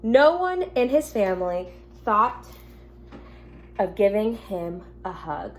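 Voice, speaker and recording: A woman teacher speaking gently and simply to young children, reading a picture book aloud at home with casual asides. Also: mid-range voice, sing-song, slow. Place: at home in an apartment. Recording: noticeable background noise, home recording.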